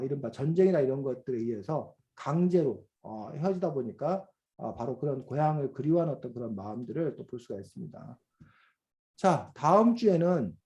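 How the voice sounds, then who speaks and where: Mellow-voiced man teaching kids online. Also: medium pitch, dull audio. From South Korea. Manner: explanatory, many fillers, asks students questions. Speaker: reading teacher. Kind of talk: online class lecture to children. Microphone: video call.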